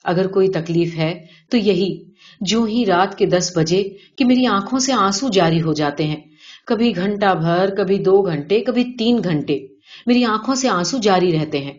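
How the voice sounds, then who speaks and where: A woman's voice: mid-range voice, lively intonation, a little mellow, natural voice. A woman reading the lines of a boastful, self-satisfied middle-aged businessman with a callous streak in an audiobook. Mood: plaintive. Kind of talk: character dialogue in audiobook reading. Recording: dull, lo-fi sound.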